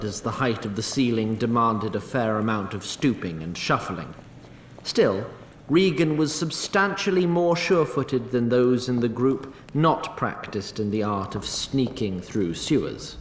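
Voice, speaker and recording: A man narrating a fantasy audio drama in a droll, wry, bookish manner. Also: measured pace. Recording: roomy echo, faint rumbling noise.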